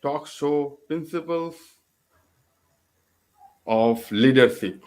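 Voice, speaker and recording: A man hosting a talk show interview, speaking haltingly with many fillers. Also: medium pitch, a little mellow.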